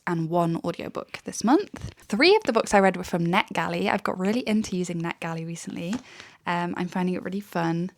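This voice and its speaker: A young woman, chatting casually through her monthly book reviews, a little under the weather and sometimes slightly croaky, with frequent "um"s. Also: mid-range voice, a little hiss or hum. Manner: chatty, frequent "um" and light laughs. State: recovering from illness, may sound croaky. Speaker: book vlogger.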